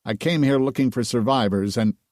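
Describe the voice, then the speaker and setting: A man's voice: mid-range voice, a little fast, darkish tone, dry recording, studio quality, lightly acted. A man voicing a stern, impatient male swordsman who speaks in a terse, restrained way. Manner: curt and restrained, terse replies.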